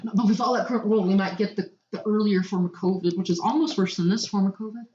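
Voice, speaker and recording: A woman's voice: mid-range voice, steady tone, rapid-fire, mellow. A woman co-hosting a podcast, bantering and improvising in a casual, playful way. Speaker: podcast co-host. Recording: echoey room, dull lo-fi audio.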